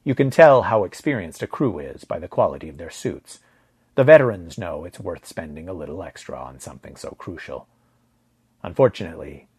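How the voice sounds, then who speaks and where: A man's voice: sits low, a little mellow, slightly rough. A man narrating first-person science fiction fiction from a script as a storyteller.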